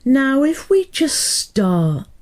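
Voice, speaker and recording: A woman hypnotherapist guiding a meditation in a soothing, deliberate manner, pausing between short phrases. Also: high-pitched, sing-song, darkish tone. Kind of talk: guided meditation hypnotherapy narration.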